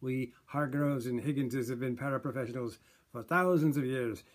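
A man voicing a blustery old father who lectures his sons in a pompous, higher voice, recorded on a webcam in a small room at home. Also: moderate pace, natural voice. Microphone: webcam at desk distance.

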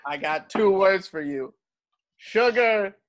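A performer voicing a cranky, loud old male talent agent with a blustering manner over a video call. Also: medium pitch, expressive, slow pace. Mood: defiant. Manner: exasperated, blustering.

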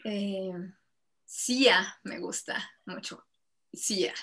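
A woman's voice: mid-range voice, extremely slow, creaky, a bit bright. A Mexican woman in her thirties, a singer-songwriter answering interview questions casually over a video call from home, with frequent fillers and laughs. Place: home room with bookshelves and string lights. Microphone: video call webcam.

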